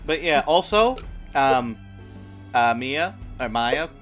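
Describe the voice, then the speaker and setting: Brief: excitable male stream host, bright voice, swears a lot, faint music under. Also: mid-range voice, slow pace. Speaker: game master and stream host. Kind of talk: casual banter on a live stream.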